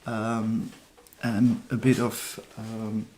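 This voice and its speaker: Slow, hesitant man. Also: lowish voice, monotone, a little hiss or hum, poor audio. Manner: soft-spoken, hesitant, thinking aloud. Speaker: numerologist host. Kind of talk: casual live-stream reading.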